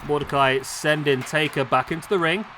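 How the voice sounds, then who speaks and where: Hoarse guy calling a game match, kinda high voice, gets excited, loud noise behind him. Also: moderate pace. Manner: excitable, bursts of exclamation. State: hoarse, losing his voice. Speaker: gaming YouTuber. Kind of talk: play-by-play commentary over a simulated wrestling match. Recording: clear background noise under voice.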